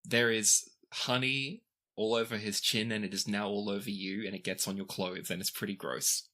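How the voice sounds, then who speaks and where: A man acting as game master, improvising narration for a tabletop game in a measured way with pauses mid-sentence. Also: on the low side, steady tone, sharp tone.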